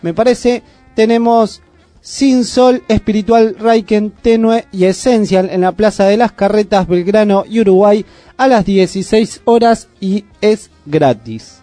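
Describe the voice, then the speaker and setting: High-voiced Argentine radio host. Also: male voice, warm tone, dry recording, faint music behind. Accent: Argentine Spanish. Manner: chatty, with frequent "eh" pauses. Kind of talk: casual community radio chat. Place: radio studio.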